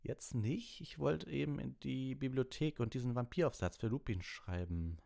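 A man's voice: medium pitch, moderate pace, gravelly, mellow, voice pushed up, natural voice. A man voicing a young teenage boy hero with an evasive, hesitant manner, in an audiobook.

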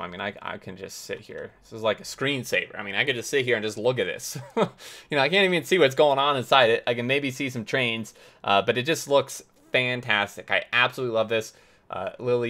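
Hoarse, enthusiastic man touring a game base. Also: fairly low pitch, sharp tone. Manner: enthusiastic, rambling with many fillers. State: hoarse, losing his voice. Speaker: gaming video host. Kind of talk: casual game tour commentary.

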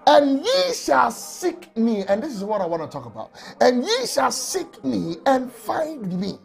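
A man preaching an emotional sermon from a small office on a lapel mic, often shouting and crying out. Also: very high-pitched, wildly swooping pitch, unhurried, creaky. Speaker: pastor.

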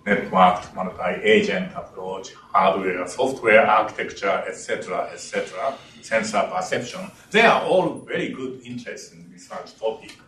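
An older man giving an engineering lecture in English with non-native phrasing, speaking deliberately and stressing key words. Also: lowish voice, a bit bright. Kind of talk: academic lecture. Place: lecture room. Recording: roomy, distant lecture hall capture with faint room noise.

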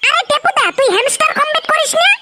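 A performer with a pitched-up voice filter plays a boastful, crude cartoon village lad. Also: rapid-fire, heavy background noise. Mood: irritated bragging. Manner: crude, bragging, swearing. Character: cartoon village lad, boastful, foul-mouthed coin-obsessed buddy. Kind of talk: comic cartoon banter.

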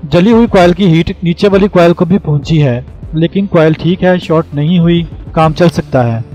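A man's voice: a little high, moderate pace, dark tone, low-quality recording. A man giving a scripted instructional voice-over as a tutorial host, explaining plainly step by step. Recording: music behind the voice. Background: background music.

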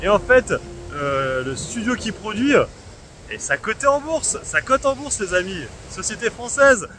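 A man's voice: high-pitched, animated, unhurried, bright, forceful tone, slight background noise. A young man chatting casually to a camera held at arm's length on a beach, full of filler words and asides. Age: young adult. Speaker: investing channel host. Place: sunny beach by a seawall, outdoors. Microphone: phone or camera held at arm's length.

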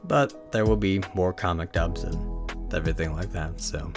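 A very deep-voiced man, under the weather, chats casually over clear music. He sounds low-key and apologetic, like a creator signing off to viewers. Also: normal speed. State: sick. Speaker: video creator. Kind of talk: casual outro chat.

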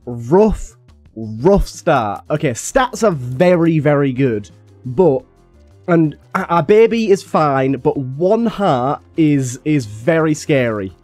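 A young man with a slightly raspy voice from being a little ill, chatting casually and thinking aloud as he plays a game. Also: on the high side, sing-song, takes it slowly, a little mellow, no room sound, soft background music. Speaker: gaming YouTuber. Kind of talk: casual gameplay commentary.

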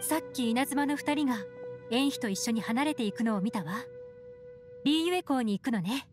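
A woman's voice: fairly high pitch, expressive, moderate pace, lightly acted. A woman performs a mysterious female agent in an anime game. She sounds cool, poised and self-assured, with a sly, teasing femininity, over faint music.

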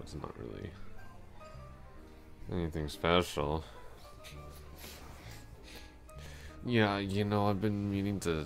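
Man acting very deep-voiced drunk, rambling coworker. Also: vocal fry, heavily acted. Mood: awkward, bashful. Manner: rambling, hesitant, trailing off. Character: drunk, rambling, awkwardly smitten young male office coworker. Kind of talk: intimate ASMR roleplay acting.

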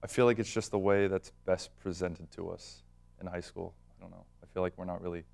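An eighteen-year-old man answers interview questions in an offhand way. His voice sits very low and gravelly, with a flat melody. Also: a little fast. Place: studio with plain backdrop.

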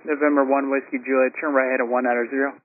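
A male air traffic controller speaks over an aviation radio at a middling pitch. His delivery is informal and accommodating. The transmission is tinny and heavily band-limited. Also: flat delivery, racing, no echo. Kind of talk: radio communication.

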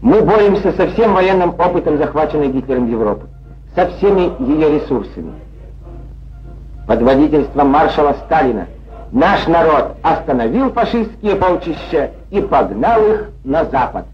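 Male 1940s newsreel narrator, highish voice, declamatory and sardonic, muffled old film sound. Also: big pitch swings, moderate pace. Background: music.

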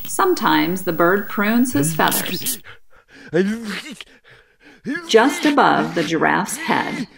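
A woman reading a children's picture book aloud as an expressive, deliberate narrator, putting dramatic emphasis on key words. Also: lowish voice, gravelly, a crowd in the background. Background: music behind the voice.

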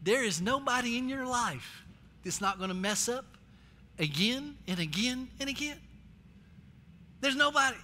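A man's voice: very high-pitched, expressive, measured pace, pauses often, pushed and bright. A middle-aged American man preaching a sermon as a pastor, emphatic and exhorting, repeating phrases to drive them home. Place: large church stage, indoors, amplified. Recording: echoey hall reverb, faint music.

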